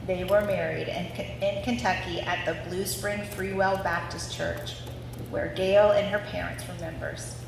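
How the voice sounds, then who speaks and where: A woman's voice: lowish voice, steady tone, normal speed, vocal fry. A woman in her forties from Ohio reads a scripted family story aloud at a live gathering. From Ohio, United States. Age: 40s. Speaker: daughter reading family story at anniversary event. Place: large reverberant room at a live gathering. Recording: very echoey, distant mic, noisy.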